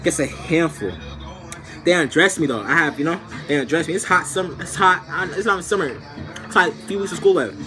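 A young man telling a casual, comedic story to camera in an animated, slangy way, acting out the scenes, recorded in a small room at arm's length. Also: fairly high pitch, brisk, over music. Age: young adult. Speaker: storytime vlogger.

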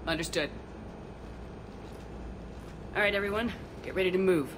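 A woman voicing a decisive female soldier commander who gives brisk orders in a game cutscene. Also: on the low side, background noise, lightly acted. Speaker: squad commander. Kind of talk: video game cutscene dialogue. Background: game music.

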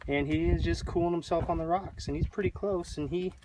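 A man's voice: fairly high pitch, steady tone, brisk, noisy background. A man in his thirties talks casually and jokingly as a family vlog host, recording himself on a handheld camera out on a hiking trail. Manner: jokey, informal. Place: outdoors on a canyon hiking trail. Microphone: handheld camera at arm's length.

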